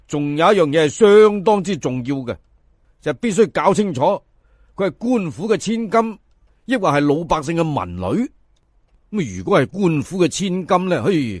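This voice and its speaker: A man's voice: fairly high pitch, no echo, studio quality. A man narrating a folk tale in colloquial Cantonese as a storyteller, theatrical, addressing listeners and stretching words for emphasis.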